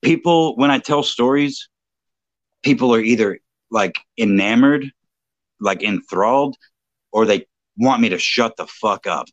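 A man's voice: mid-range voice, expressive, a little slow, long pauses, dry recording, highs cut off. A man co-hosting a livestream, chatting casually, profane and self-mocking, rambling into long stories. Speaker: livestream co-host.

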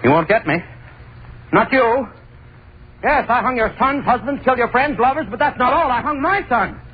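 A man's voice: on the low side, lively intonation, moderate pace, natural voice. A man playing a guilt-ridden hangman in an old-time radio drama, intense and repetitive as he unravels into terror. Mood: crazed defiance. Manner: intense, repetitive, haunted delivery. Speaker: lead actor and narrator. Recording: noisy, tinny old-time radio recording.

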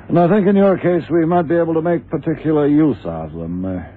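An actor playing a brisk, courteous official who explains things with small hesitations, in 1960s radio drama style. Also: male voice, lowish voice, narrow, tinny audio, close to an everyday voice. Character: brisk, courteous male official introducing a specialist. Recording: thin noisy old radio broadcast.

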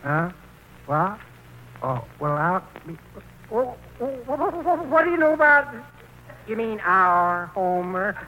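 An actor playing a befuddled, fussy older husband who stutters and repeats himself in a 1940s radio play. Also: male voice, high-pitched, very animated, takes it slowly, pauses often, sharp tone, exaggerated voice. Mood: astonished. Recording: echoing, noisy old radio recording.

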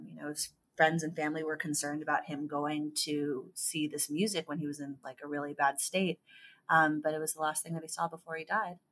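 A woman in her thirties from California, talking as an author guest in a podcast interview, halting and thoughtful with many fillers and restarts. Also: sits low, a bit bright. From California, USA.

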